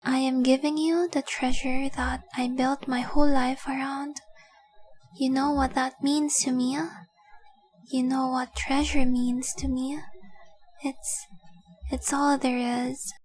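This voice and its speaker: A high, slow voice of a woman playing a young dragon girl in a romantic audio roleplay. She is devoted and tenderly possessive, speaking with intimate, earnest care and keeping her melody very even. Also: faint music behind, rough recording. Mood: solemn, confessional. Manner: earnest, intimate, deliberate, with breathy whispered phrases.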